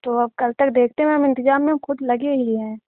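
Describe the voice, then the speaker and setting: High-voiced deferential woman on phone line. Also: fairly even intonation, brisk, noisy background. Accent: Uttar Pradesh. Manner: deferential, hedging. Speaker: job applicant. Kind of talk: informal phone conversation. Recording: narrowband tinny phone call recording.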